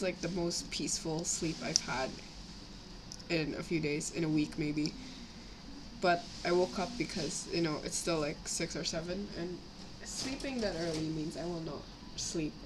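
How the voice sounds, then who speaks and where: A young woman with a slightly raspy voice from a cough, rambling casually as she chats on a live stream while building models. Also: sits low. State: sick with a cough, itchy throat. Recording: clear background noise, home livestream.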